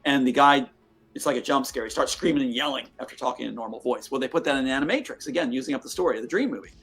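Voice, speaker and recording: Gravelly, husky man stumbling over words. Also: mid-range voice, rapid-fire, fairly bright tone. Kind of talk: rambling interview recounting a story.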